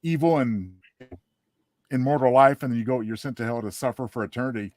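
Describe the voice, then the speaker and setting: A man's voice: mid-range voice, fairly quick, a little mellow, dry recording. A man co-hosting a podcast in casual, wisecracking chat, recorded close to the microphone. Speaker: podcast co-host. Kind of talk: casual chat commentary on a radio play.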